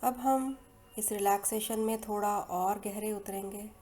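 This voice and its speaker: Mellow Indian woman guiding meditation. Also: medium pitch, steady tone, slight background noise. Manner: soothing, unhurried, with long pauses between phrases. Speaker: guided meditation and hypnosis leader. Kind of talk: slow guided meditation narration. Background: soft meditation music.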